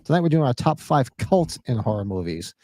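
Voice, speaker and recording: A man co-hosting a live podcast, chatting loosely, joking and reading chat comments, a little hoarse. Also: mid-range voice, very animated, a little fast, warm tone, no echo, clean studio sound. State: losing his voice. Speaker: podcast co-host. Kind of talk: casual live-stream chat.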